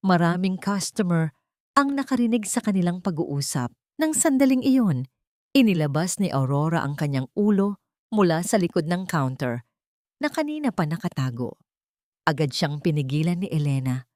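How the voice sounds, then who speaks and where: A woman's voice: on the low side, very animated, moderate pace, darkish tone, no room sound, clean studio sound. A woman narrating a dramatic love story from a script, in storytelling style.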